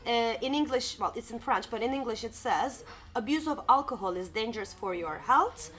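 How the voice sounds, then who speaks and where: A woman's voice: a little high, expressive, normal speed, a bit bright. A woman from Turkey co-hosting a podcast in casual chat, laughing easily and using many fillers. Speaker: podcast co-host. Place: sitting at a bar or cafe.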